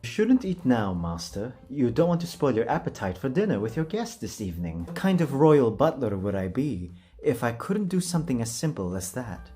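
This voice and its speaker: A man's voice: mid-range voice, very animated, moderate pace, mellow, breathy edge, soft background music. A man voicing a polite, suave anime butler, speaking formally and deferentially to his master. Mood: courteous, suave.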